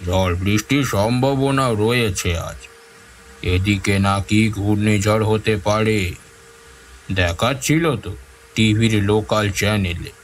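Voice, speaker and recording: A man playing a caring middle-aged village uncle, speaking slowly and cautioningly in an audio drama. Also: lowish voice, a little hiss or hum. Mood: concerned, cautioning.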